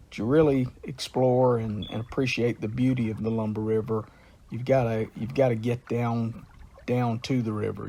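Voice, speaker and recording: Unhurried man, gravelly low voice. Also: warm tone. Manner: unhurried, with frequent "uh" pauses. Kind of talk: informal talk on local history and nature.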